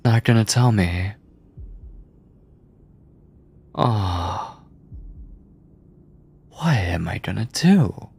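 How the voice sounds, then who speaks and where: A man playing an obsessive mad scientist captor in a horror ASMR roleplay, coldly doting and clinical, with condescending endearments, theatrical pauses and a breathy, husky voice. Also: lowish voice, darkish tone, exaggerated voice. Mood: controlling, menacing. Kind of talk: horror ASMR roleplay, intimate monologue to listener.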